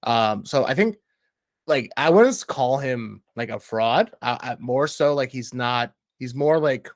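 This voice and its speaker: A man's voice: medium pitch, animated, a little slow, no room sound, clean studio sound. A man in a casual, slangy podcast chat, rambling and blunt, with lots of filler words. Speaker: podcast guest reviewing a TV show.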